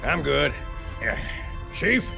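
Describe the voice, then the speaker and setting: A gruff veteran marine sergeant in a video game. He is brash and gravelly, pitched high for a man, over music. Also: sing-song, takes it slowly, exaggerated voice.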